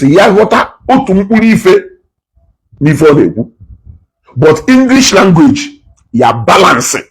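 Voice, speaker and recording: Impassioned husky man. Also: fairly high pitch, low-quality recording. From Nigeria, Igbo. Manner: passionate and preachy, repeats words for emphasis. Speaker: commentator. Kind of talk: reaction commentary.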